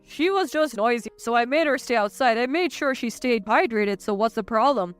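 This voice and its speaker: A woman's voice: on the high side, animated, moderate pace, faint music behind, lightly acted. A woman playing a callous, petulant husband and mama's boy in a narrated story, dismissive and self-satisfied.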